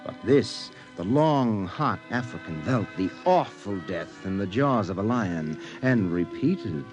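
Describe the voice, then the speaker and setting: An actor narrating an ominous science fiction radio drama in an intense, deliberate manner. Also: male voice, fairly low pitch, lively intonation. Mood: brooding, ominous. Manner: intense, deliberate, incantatory repetition. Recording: vintage radio drama over music. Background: music.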